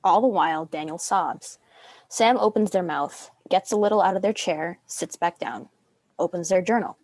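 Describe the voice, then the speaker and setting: A woman's voice: lowish voice, normal speed, a little mellow, no room sound. A young adult woman reading scripted stage directions as a narrator.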